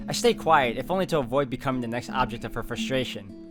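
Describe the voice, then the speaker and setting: A man's voice: mid-range voice, quick pace. A man playing through a visual novel, reading its narration aloud and adding his own asides. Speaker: let's player. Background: background game music.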